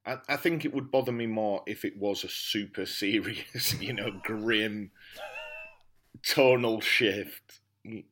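A man on a podcast panel giving tentative, measured opinions in casual review chat. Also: fairly low pitch, a bit bright.

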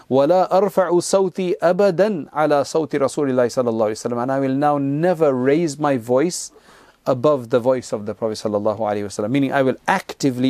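A man delivers a religious lesson, quoting Arabic and then unpacking it in plain conversational English. His voice is gravelly and mellow, with a relaxed delivery. The sound is dry and close. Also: medium pitch, no room sound. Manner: conversational, explains Arabic quotes in English with frequent asides. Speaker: Islamic teacher. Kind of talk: religious lecture retelling hadith.

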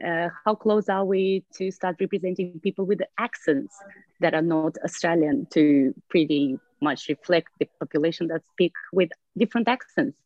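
Dull-sounding, lower-voiced woman asking a question. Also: no echo. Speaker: audience member asking a question. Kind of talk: unscripted question in a discussion. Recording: dull, lo-fi, dry microphone sound.

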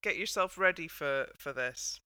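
A woman's voice: sits low, unhurried, pushed and bright, smooth, no room sound. A British woman hosting a casual panel chat with a wry, dry sense of humour. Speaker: podcast host.

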